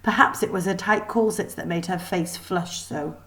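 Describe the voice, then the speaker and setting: A woman reading a children's story aloud in an expressive, deliberate way with dramatic emphasis. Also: lowish voice. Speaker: teacher reading a book aloud.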